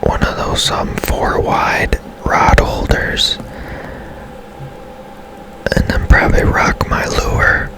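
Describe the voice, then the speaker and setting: A man whispering softly and casually as he gives rambling, unhurried commentary on a video game for ASMR. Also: low-quality recording. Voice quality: soft unvoiced whisper. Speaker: game streamer.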